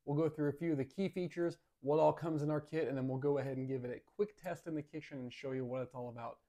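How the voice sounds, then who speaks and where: A man's voice: fairly high pitch, steady tone, quick pace, warm tone. An American man in his thirties giving a casual, upbeat product review and demo to camera in an easygoing, folksy way. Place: home dining room and kitchen.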